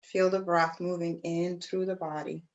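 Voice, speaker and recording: A woman teaching yoga, guiding breathing and meditation in a gentle, soothing way, with pauses between phrases. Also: on the low side, flat delivery, dull audio. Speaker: yoga teacher leading a class. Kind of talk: guided breathwork and meditation instruction.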